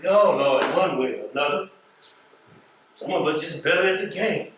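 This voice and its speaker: Wry, animated American mail carrier. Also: male voice, a little high, normal speed. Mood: wry, resigned. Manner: folksy, colloquial, wry. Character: wry, unhurried male mail carrier, streetwise and philosophical. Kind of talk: stage play acting. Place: theatre stage, recorded from afar. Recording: echoey stage, distant mic, faint noise.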